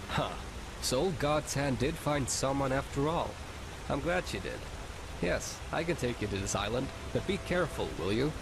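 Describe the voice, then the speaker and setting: An actor voicing a cautious male boatman in a fantasy game, speaking in a measured, warning way. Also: mid-range voice, expressive, vocal fry. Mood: cautionary. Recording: rain noise behind the voice.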